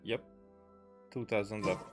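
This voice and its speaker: A man's voice: lowish voice, fairly even intonation, a little slow, music in the background. A man giving casual let's play commentary on a game, muttering to himself and exclaiming as he goes. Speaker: gamer recording a playthrough.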